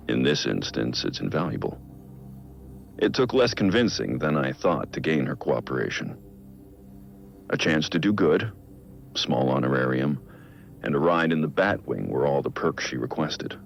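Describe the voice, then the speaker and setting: An actor playing a brooding billionaire vigilante, recording a measured, reflective personal audio log in a game. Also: male voice, heavily acted. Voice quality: low gravelly voice. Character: brooding billionaire vigilante, man in his prime.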